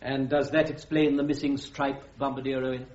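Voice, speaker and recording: An actor playing a stern, peremptory senior officer presiding over a court-martial in a radio drama, with a British accent and a pompous, curt manner. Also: male voice, mid-range voice, flat delivery, a little fast, darkish tone, slight background noise, close to an everyday voice. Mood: stern, commanding. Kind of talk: full-cast radio drama acting. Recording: muffled, roomy radio recording.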